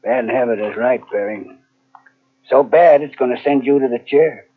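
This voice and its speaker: An actor playing a shrewd, needling police detective in 1940s radio mystery style, probing and sardonic. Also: male voice, medium pitch, moderate pace, breathy edge, close to an everyday voice. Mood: sardonic, accusing. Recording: tinny vintage radio recording on cassette.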